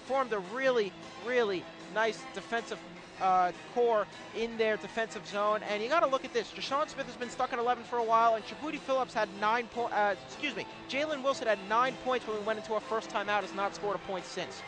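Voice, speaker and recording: Male color commentator, very high voice, basketball analysis, arena radio broadcast, clear background sound. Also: a little fast, music in the background. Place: indoor college basketball arena.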